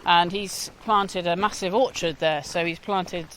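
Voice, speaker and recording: A woman's voice: fairly low pitch, fairly even intonation, fairly bright tone, slight background noise, rough recording. A Welsh woman in her forties, a guest musician talking in a thoughtful, hesitant way during an outdoor interview on a walk. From Wales. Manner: thoughtful, hesitant, often pauses mid-phrase.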